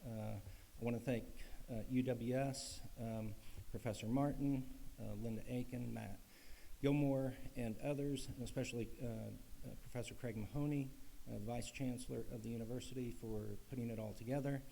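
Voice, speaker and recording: A man's voice: medium pitch, steady tone, normal speed, creaky, warm tone, slight background noise, poor audio. A man chairing a conference, welcoming attendees and making announcements in a friendly way with many uhs and ums. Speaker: association chair and conference host. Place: conference hall.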